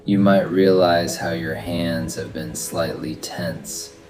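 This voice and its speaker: A man narrating a guided sleep meditation in a soft, soothing, unhurried manner. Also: low voice. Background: steady rain sounds and soft background music.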